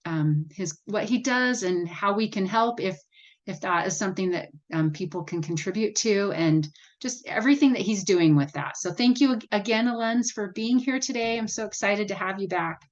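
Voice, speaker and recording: A woman's voice: mid-range voice, moderate pace. A woman hosting an interview, rambling and correcting herself as she introduces her guest. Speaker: host. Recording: dull lo-fi sound.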